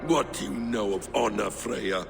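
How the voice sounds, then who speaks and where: An actor playing a sly, sardonic trickster god in a video game, mocking and silver-tongued. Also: male voice, mid-range voice, a little slow, creaky. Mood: biting mockery. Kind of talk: video game cutscene acting. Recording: long hall reverb under music.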